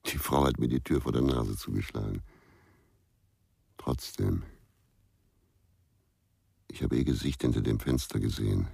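A man playing a brooding, terse investigator in a radio crime drama, speaking deliberately in dialogue and inner monologue. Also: extremely low pitch, gravelly, mellow, husky, no room sound, studio quality, heavily acted. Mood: bitter. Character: brooding, terse male investigator narrating his case.

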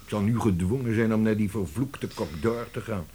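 An actor playing a dry, rueful middle-aged publishing director in a Dutch radio play, deliberate and slightly pompous. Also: male voice, fairly low pitch, dark tone, a little hiss or hum, lightly acted. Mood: grumbling. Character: dry, rueful older publishing director, mock-heroic grumbler.

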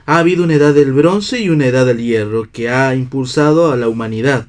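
A man's voice: mid-range voice, brisk, close to an everyday voice. Radio theatre: actor as idealistic workingman, mid-range voice, declamatory, sententious. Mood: preaching, resolute. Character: idealistic man in worker's clothes, preachy philosopher.

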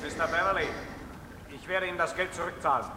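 A man's voice: fairly high pitch, fairly even intonation, quick pace, a crowd in the background, natural voice. An actor playing a proud, defiant landowner addressing his neighbour in a German radio play. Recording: long reverb, faint noise.

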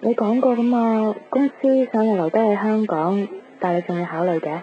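A woman's voice: medium pitch, fairly even intonation, a little fast, slight background noise, close to an everyday voice. An actress playing an earnest, wistful young woman in love in a radio drama. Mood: gentle, tentative. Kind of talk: radio drama acting and inner monologue. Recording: muffled radio recording, loud guitar music. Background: guitar music.

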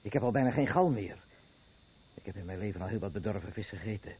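An actor playing a poisoned, bereaved father accusing the seller, husky and bitter, in a Dutch radio play. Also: fairly low pitch, expressive, fast talker, a little hiss or hum, natural voice. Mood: sickly, bitter. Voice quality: husky, rough. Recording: muffled, phone-like old radio recording.

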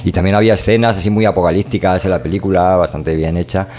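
A man's voice: low-pitched, steady tone, quick pace, darkish tone. A man with a Spanish accent from Spain co-hosts a podcast, talking in a casual, opinionated, rambling and colloquial way. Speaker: podcast co-host.

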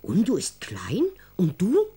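Husky, swooping, childlike tiny granny. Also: female voice, lowish voice, huge pitch swings, slow pace, dark tone, exaggerated voice. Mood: curious. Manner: parrots words back, garbled, naive. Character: newly hatched tiny granny, childlike, curious, parroting words. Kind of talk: children's radio drama acting.

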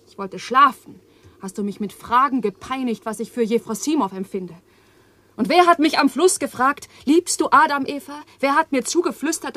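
An overwrought young woman in a 1980s radio drama. Her voice sits a little high and swings from hushed, near-whispered lines to loud outbursts. She sounds bitter and worn down. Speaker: actress in a radio play.

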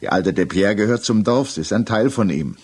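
A low, husky voice of a stern magistrate, formal and insistent. He presses each question like an accusation, in the style of sixties German radio drama. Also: animated, a little fast, darkish tone. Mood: insistent, accusing. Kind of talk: 1960s German radio play acting.

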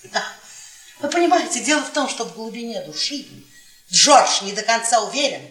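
A woman's voice: on the high side, animated, moderate pace, fairly bright tone. A woman playing a brash, taunting middle-aged wife in a theatrical radio play. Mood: scornful. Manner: sarcastic, goading, theatrical. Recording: echoey stage sound, distant microphone.

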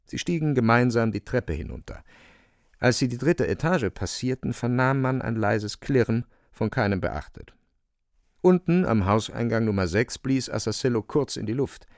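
An actor playing the wry narrator of a radio drama, telling the tale theatrically in short phrases with pointed pauses. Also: male voice, medium pitch, animated, dry recording, clean studio sound, close to an everyday voice. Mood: wry, suspenseful. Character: wry, vivid male storyteller relishing grotesque events.

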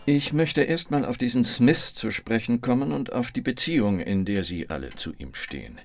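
A man plays a gravelly police captain in a crime radio drama. He is official and methodical, with lively intonation at middle pitch. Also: moderate pace, over loud music, lightly acted. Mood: formal, probing. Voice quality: gravelly, creaky. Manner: formal, methodical questioning. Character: controlled, official male state police captain.

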